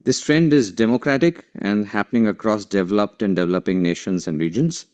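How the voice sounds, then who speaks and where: A man giving a formal, measured webinar presentation as session moderator. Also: on the low side, a little mellow.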